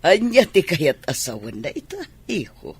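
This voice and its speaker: A woman acting an admonishing mother of a grown son, with a husky voice, in a radio drama. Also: low voice, very animated, normal speed, darkish tone, no room sound, full character voice. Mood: gently reproachful.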